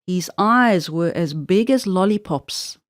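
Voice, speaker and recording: A woman's voice: lowish voice, lively intonation, slow, dry recording, clean studio sound. A woman narrating a children's storybook expressively, drawing out words for emphasis.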